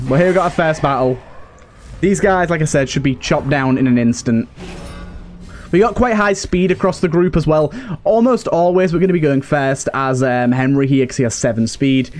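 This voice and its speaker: Male gaming host, fairly high register, relaxed-format playthrough commentary, conversational delivery. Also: moderate pace, a little hiss or hum. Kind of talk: casual let's play commentary.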